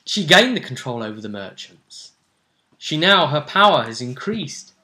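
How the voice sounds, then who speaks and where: Bright-voiced man, casual game master. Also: a little high, sing-song, normal speed. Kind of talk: casual recap of a tabletop game story.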